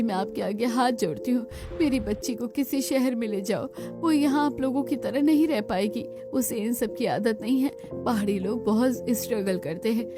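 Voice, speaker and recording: A woman's voice: medium pitch, natural voice. A woman voicing an anxious older mother in a cartoon melodrama, pleading on behalf of her child.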